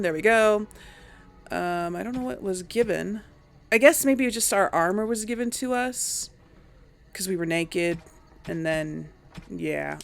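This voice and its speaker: Slow-talking woman with a creaky voice. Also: on the low side. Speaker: gamer narrating a role-play playthrough. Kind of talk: casual let's play commentary.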